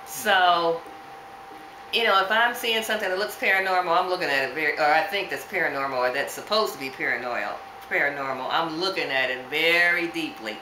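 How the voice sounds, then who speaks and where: A woman's voice: low-pitched, fairly quick. A woman in her sixties from New York, casually chatting and asking friends questions, laughing often. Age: 60s. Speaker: host of a paranormal submission video. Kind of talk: casual chat. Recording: echoey room with loud background noise.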